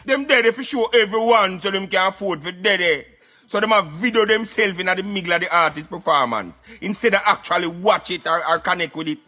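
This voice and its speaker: A man's voice: high-pitched, brisk, sharp tone, soft background music. A Jamaican man giving opinionated conversational commentary on a radio show, in patois with emphatic interjections.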